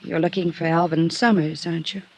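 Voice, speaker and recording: A woman's voice: lowish voice, steady tone, brisk, a little mellow, breathy edge. An actress playing a stranded, pleading, world-weary American woman in a 1950s radio noir drama, earnest and guarded. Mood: bargaining.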